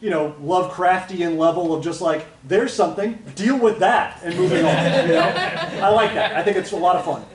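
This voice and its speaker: A man's voice: high voice, lively intonation, moderate pace, hardly any pauses, a little hiss or hum. Enthusiastic man full of "like"s. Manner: enthusiastic, filler-heavy. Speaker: audience member giving feedback. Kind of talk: casual discussion. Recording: echoey room from far off.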